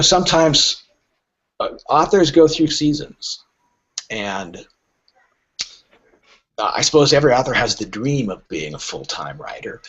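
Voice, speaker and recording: Animated husky man explaining his trade. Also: medium pitch, highs cut off. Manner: emphatic, halting starts with repeated words, rhetorical questions. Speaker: literary agent, guest. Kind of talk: conversational explaining on a podcast.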